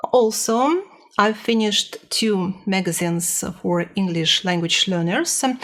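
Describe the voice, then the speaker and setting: A woman giving a casual personal report as a language learner, speaking hesitantly and a little nervously as she searches for words. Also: on the low side.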